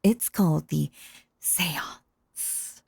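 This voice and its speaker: A sinister, possessive magician acted by a woman speaks in a low, creaky, velvety-dark voice. The delivery is theatrical and coaxing, sweetly menacing, often sinking into breathy whispers for an intimate roleplay audio. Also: no echo, clean studio sound, exaggerated voice. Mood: resigned, menacing. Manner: theatrical, coaxing, drawn-out phrasing with dramatic pauses.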